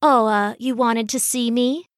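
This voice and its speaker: A woman's voice: fairly high pitch, steady tone, a little slow, dry recording, studio quality, close to an everyday voice. A woman voicing a sly, jealous male trickster god in a storybook myth, flippant and taunting.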